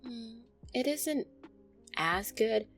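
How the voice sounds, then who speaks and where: A woman playing a gentle, anxious, affectionate vampire girlfriend in an intimate roleplay audio, speaking softly, tenderly and hesitantly. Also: on the high side, expressive, very slow, soft background music, heavily acted. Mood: relaxed, reassuring. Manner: soft, tender, hesitant, sighing delivery.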